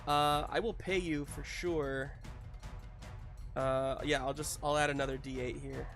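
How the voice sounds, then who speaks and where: A man's voice: fairly high pitch, steady tone, measured pace, a bit bright, soft background music. Man running a live tabletop game. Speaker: game master. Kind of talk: narrating a live tabletop roleplaying game, casual.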